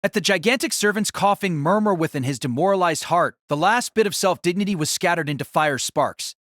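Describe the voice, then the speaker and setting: A man's voice: high voice, animated, a little fast, a bit bright, dry recording, clean studio sound. A man reading scripted, dramatic narration of a fantasy story as its narrator.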